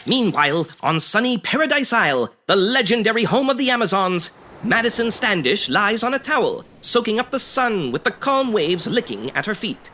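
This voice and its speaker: A man's voice: high voice, sing-song, normal speed, bright, forceful tone, a little hiss or hum, full character voice. A man playing a dramatic, urgent narrator in the manner of a 1940s radio serial. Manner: theatrical, urgent announcer delivery. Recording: music under the narration.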